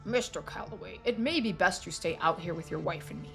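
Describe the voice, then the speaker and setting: A tired but firm sheriff voiced by a woman in a story game, keeping order. He is placating and authoritative, with a bright tone over faint music. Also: on the low side, a little fast, creaky. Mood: weary, firm. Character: weary, firm male small-town sheriff keeping order.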